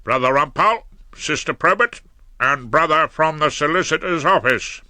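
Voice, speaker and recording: A man's voice: a little high, normal speed, bright, forceful tone, no echo, clean studio sound, pitched above the performer's own voice. A man doing a speechifying union boss. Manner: speechifying, ungrammatical, suspicious. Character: aggrieved, suspicious male trade union leader. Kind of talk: audiobook character voice.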